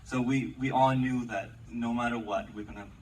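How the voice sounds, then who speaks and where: A man speaks at an ordinary middle pitch from a stage panel, sounding loose and a little awkward. He is heard from far off through the noisy recording of an audience member's camera in a big theater. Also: fairly even intonation, moderate pace, a little mellow. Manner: self-described awkward and fidgety, laughs often. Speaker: actor on a panel. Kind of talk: casual panel Q&A answers. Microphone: audience member's camera from the seats.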